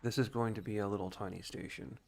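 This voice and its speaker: A man's voice: fairly low pitch, steady tone, fast talker, vocal fry, darkish tone. A man giving casual, explanatory video game commentary, talking informally and thinking aloud as a streamer.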